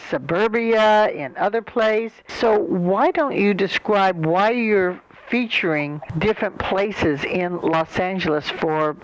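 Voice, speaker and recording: A woman's voice: medium pitch, takes it slowly, few pauses, no echo, poor audio. Older woman hosting a radio interview. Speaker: host.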